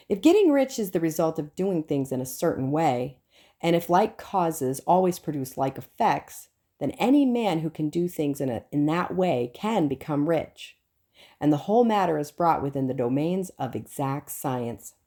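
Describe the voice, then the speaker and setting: Low-voiced, expressive woman reading an audiobook. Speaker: audiobook reader. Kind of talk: scripted audiobook narration of a self-help book.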